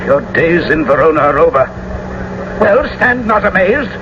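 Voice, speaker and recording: A man's voice: a little high, very animated, moderate pace, dull audio, noisy background. An actor playing an urgent, loyal young man in a theatrical radio drama on an old broadcast recording.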